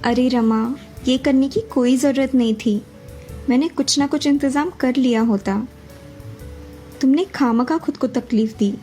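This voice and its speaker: A woman's voice: high-pitched, unhurried, dark tone, faint music behind. A woman playing an earnest village mother and modest friend in a dramatised children's moral story. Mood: grateful.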